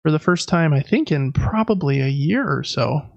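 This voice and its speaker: A man's voice: fairly high pitch, lively intonation, normal speed, gravelly, darkish tone, dry recording, muffled recording. A man co-hosting a casual video game podcast, chatting informally and enthusiastically about what he recommends. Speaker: podcast co-host.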